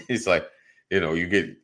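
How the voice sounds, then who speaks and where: A man's voice: deep voice, measured pace, pushed and bright. A middle-aged man co-hosting a talk show, chatting casually, laughing often and agreeing with "right". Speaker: podcast co-host. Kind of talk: casual chat.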